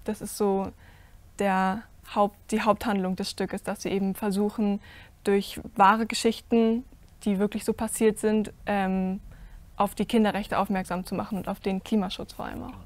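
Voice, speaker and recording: A teenage girl on a stage panel, answering earnestly as a cast member, with many pauses and fillers. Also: mid-range voice, level tone, a little mellow, no room sound. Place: stage with curtain, indoors.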